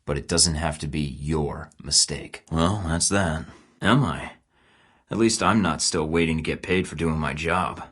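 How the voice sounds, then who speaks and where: A man's voice: extremely low pitch, unhurried, a bit bright, husky, heavily acted. An actor playing a tough, sarcastic male field agent in a video game, speaking in dry, clipped lines. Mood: defiant. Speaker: video game protagonist, a spy.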